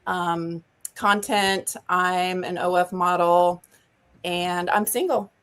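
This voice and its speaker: A woman's voice: lowish voice, fairly even intonation, slow pace, a bit bright, clean tone. A woman in her thirties introducing herself hesitantly as a panel guest over a video call. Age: 30s.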